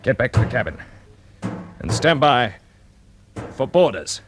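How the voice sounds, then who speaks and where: An actor playing a decisive, urgent spaceship commander in a 1950s radio drama, with a clipped, commanding manner. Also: male voice, medium pitch, very animated, unhurried, faint music behind. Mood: tense. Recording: noisy vintage 1950s radio recording.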